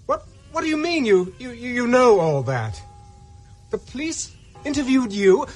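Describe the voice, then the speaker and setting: A man's voice: extremely high pitch, very animated, measured pace, soft background music, full character voice. An actor playing a distraught, hunted young Englishman in a radio drama, urgent and stammering. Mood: panicked, pleading. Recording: faint noise and ambience.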